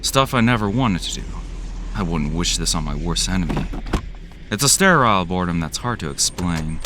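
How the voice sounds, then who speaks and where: A man's voice: deep voice, expressive, moderate pace, noisy background. A man playing a jaded, cynical office worker who narrates an audio drama in a weary, sardonic, profane way.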